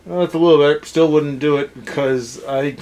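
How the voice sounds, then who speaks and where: A man's voice: fairly high pitch, steady tone, moderate pace, darkish tone, a little hiss or hum. A man in a casual, opinionated discussion as a review co-host, blunt and dismissive in his ranting. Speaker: co-host of a card game anime review.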